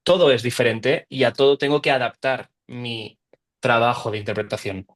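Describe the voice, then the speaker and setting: A man's voice: medium pitch, fairly even intonation, normal speed. A young adult man from Barcelona, an actor answering interview questions in a thoughtful, explaining way. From Barcelona, Spain. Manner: thoughtful, explains at length with restarts. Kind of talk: interview conversation.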